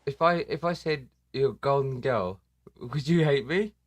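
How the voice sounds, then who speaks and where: A man's voice: medium pitch, lively intonation, moderate pace, no echo, studio quality. A man hosting a casual live stream, joking and self-deprecating, swearing now and then. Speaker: livestream host. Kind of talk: casual livestream chat.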